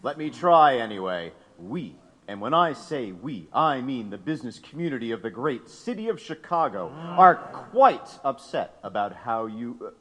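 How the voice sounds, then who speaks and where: A man's voice: medium pitch, very animated, normal speed, few pauses, fairly bright tone. An actor playing a smug, imperious wealthy businessman in an audio drama, with a pompous, sardonic delivery. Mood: stern, impatient. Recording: long echoing reverb.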